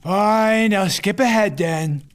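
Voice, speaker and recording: A man's voice: sits high, slow, soft background music. A man voicing a dramatic, self-absorbed cartoon crewmate who tells rambling stories in a whiny, theatrical way. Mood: smug, rambling. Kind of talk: cartoon voice acting.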